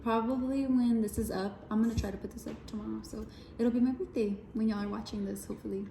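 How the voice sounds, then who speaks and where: Teasing young woman, mellow tone. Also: on the high side, steady tone, gravelly, roomy sound, slight background noise. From El Paso, Texas. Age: 20s. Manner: teasing, quick to correct her partner. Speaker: co-host of a couples channel. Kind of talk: casual chat while eating.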